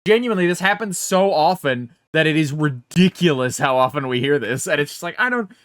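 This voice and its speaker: Brash male podcast co-host, loud and smooth-voiced, hiccuping, dry close mic. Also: a little high, animated, brisk, dry recording. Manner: loud, brash, swears often. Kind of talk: casual chat. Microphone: home studio microphone.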